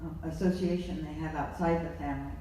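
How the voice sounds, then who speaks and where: A panelist speaking quietly and haltingly, telling a family story at a live discussion. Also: mid-range voice, fairly even intonation. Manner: soft-spoken, halting, self-deprecating storytelling. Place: large museum hall. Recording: echoey hall from far off, faint noise.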